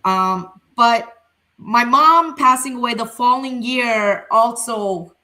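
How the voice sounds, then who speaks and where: Bright-voiced woman therapist. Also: fairly high pitch, takes it slowly. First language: Urdu. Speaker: family therapist and center founder. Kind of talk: casual panel conversation.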